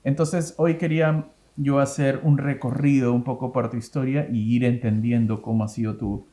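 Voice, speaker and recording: A man in his thirties hosts a relaxed interview, speaking with a mellow, warm tone. He asks questions in a chatty way, often circling back to rephrase them. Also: medium pitch, moderate pace. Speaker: host, interviewer. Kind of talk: casual interview, plus read sponsor ad.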